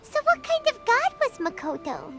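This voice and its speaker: A woman voicing a tiny, excitable female fairy companion in a game, who talks about herself in the third person. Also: extremely high pitch, normal speed, full character voice. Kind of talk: game dialogue. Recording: game audio with loud music.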